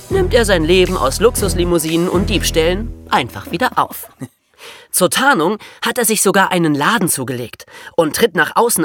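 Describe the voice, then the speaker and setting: A man's voice: sits high, a bit bright, no echo, studio quality. A male co-host of a children's podcast, narrating in a storytelling style.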